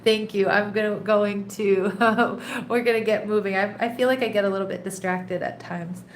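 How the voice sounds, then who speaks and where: A woman hosting a live tarot reading, a little congested and nasal, chatting casually and greeting viewers by name between soft laughs. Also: mid-range voice, steady tone, moderate pace. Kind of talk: casual live-stream chat. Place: home in a rainy tropical place. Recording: echoey room, faint background noise.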